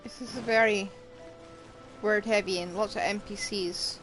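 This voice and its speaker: A woman's voice: medium pitch, fairly even intonation, unhurried, a bit bright, soft background music, poor audio. A woman game streamer giving casual live commentary as she plays.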